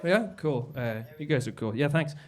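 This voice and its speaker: A man headlining a live stand-up set, with a halting delivery full of fillers and stumbles. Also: mid-range voice, expressive. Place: basement comedy club stage.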